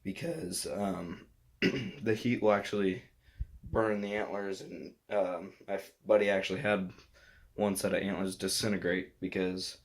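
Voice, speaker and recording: A man's voice: deep voice, fairly even intonation, unhurried. A young man casually walking through a do-it-yourself skull mount, rambling with many fillers and sounding a bit sick. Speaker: hunter making a skull mount. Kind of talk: casual DIY vlog. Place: indoors at home, bathroom. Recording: noisy home recording.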